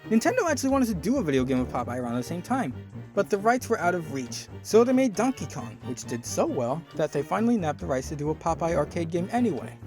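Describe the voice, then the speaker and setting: A man's voice: fairly high pitch, big pitch swings, fast, darkish tone, faint music behind. A man hosting a video essay, narrating from a script with wry, conversational asides.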